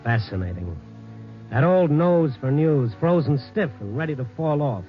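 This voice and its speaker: A muffled vintage radio recording with music under it. A man acting as a world-weary city newspaperman tells his tale in a slightly high male voice, sardonic and hard-boiled. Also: animated, moderate pace, natural voice. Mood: wry, sardonic. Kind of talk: 1950s radio drama narration.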